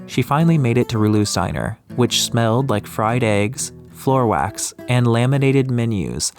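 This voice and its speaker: A man's voice: mid-range voice, expressive, moderate pace. A man narrating an atmospheric audiobook story from a script. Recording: music behind the voice.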